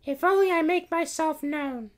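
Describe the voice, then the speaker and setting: A man voicing a gentle, wistful magical cat princess in a high falsetto, with a distant sound. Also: normal speed.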